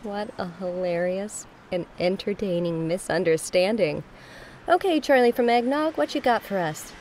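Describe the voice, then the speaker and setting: Sardonic female radio host played by an actress for horror audio drama; brash, flippant, mid pitch, faint noise. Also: animated, moderate pace, darkish tone, natural voice, low-quality recording. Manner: sarcastic, flippant.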